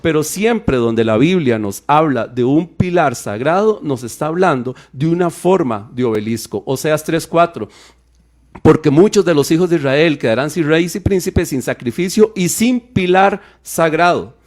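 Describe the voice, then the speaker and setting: A man with a Costa Rican Spanish accent, explaining Bible passages on a radio program with many restarts and quick asides. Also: a little high. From Costa Rica. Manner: lively, digressive, with many restarts and fillers. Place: radio studio.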